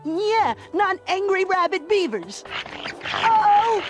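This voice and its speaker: Daft male possum, very high voice, slow drawn-out words, mock-serious, eager, game cartoon, music behind. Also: big pitch swings, full character voice. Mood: excitable. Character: little male possum sidekick, daft, mischievous, mock-solemn. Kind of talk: animated game cutscene acting.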